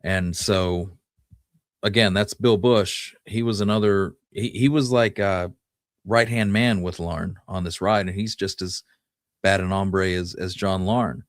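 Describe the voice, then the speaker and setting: A man hosting a podcast, telling Western history in a conversational, somewhat halting way. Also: deep voice, steady tone, dry recording, clean studio sound. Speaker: podcast host.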